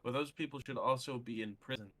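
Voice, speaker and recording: Male podcast co-host, mid-pitched, casual and opinionated, circles back over phrases to stress them. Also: fast, a bit bright.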